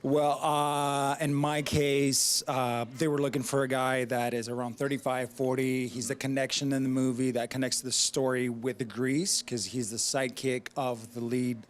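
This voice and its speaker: A man's voice: medium pitch, little pitch movement. Earnest Greek-accented man. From Greece. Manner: earnest, measured, with frequent uh pauses. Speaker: actor, interview guest. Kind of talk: casual interview.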